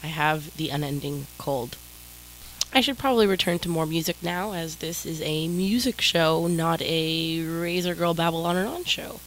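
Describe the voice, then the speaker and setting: A woman's voice: deep voice, moderate pace, poor audio. A woman hosting her own music radio show, talking casually and a little rambling, with a stuffed-up nose from a cold and static on her home mic. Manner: rambling, apologetic, self-deprecating. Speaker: radio DJ host. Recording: static-filled home mic with faint noise.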